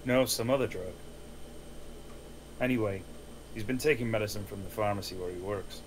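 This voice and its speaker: A man's voice: lowish voice, normal speed, background noise, close to an everyday voice. A man playing an earnest, pleading schemer in a screenplay table read. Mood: persuasive. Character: earnest, pleading young schemer boyfriend, and a casual detective.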